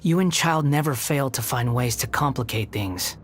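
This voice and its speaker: A man's voice: medium pitch, expressive, normal speed, lightly acted. A man playing a sardonic, haughty young villain in a video game, with a mocking, theatrical, condescending delivery. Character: sardonic, haughty young male puppet villain with bitter temper. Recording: music behind the voice.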